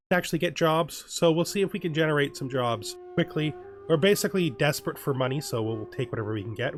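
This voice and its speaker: A man giving relaxed let's play commentary on a strategy game, thinking aloud and often restarting his sentences. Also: on the high side. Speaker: gaming YouTuber.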